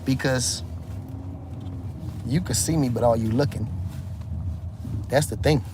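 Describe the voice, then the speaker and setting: A man's voice: medium pitch, slow pace. A man hosting a casual phone livestream, rambling and asking "you hear me" again and again. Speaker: livestream host. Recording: noisy phone livestream audio.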